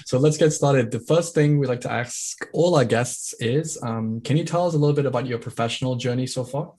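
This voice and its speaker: Relaxed man of middle pitch, co-host sharing teaching tips. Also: a little fast. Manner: relaxed, advice-giving. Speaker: podcast co-host, ESL teacher. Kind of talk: podcast chat.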